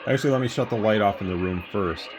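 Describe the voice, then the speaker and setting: Deep-voiced man casually demonstrating gear. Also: quick pace, background noise. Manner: rambling, hands-on explaining. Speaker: radio equipment reviewer. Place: home room with radio test equipment. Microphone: phone camera.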